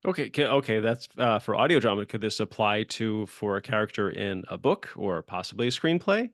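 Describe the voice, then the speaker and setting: A man's voice: fairly low pitch, expressive, brisk, no echo, studio quality. A man hosting a podcast interview in a casual, conversational style, rambling a little and affirming as he goes. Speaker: podcast host and screenwriter.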